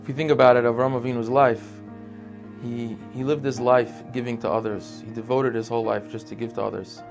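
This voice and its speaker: A young New man with a low, gravelly, flat voice speaks in a measured, reflective way. Guitar music plays clearly behind him. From Brooklyn, New York. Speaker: teacher trainee. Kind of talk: testimonial interview.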